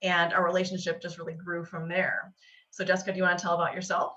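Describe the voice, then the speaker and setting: A bright, forceful woman with a voice roughened by a cold, speaking earnestly with frequent fillers. She sits back from the mic on a video call, and the audio comes through dull and muffled. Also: on the low side, little pitch movement, fast, recorded from a distance. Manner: earnest, many fillers and restarts. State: hoarse from a cold. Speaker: clinical psychologist and guest speaker. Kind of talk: webinar presentation.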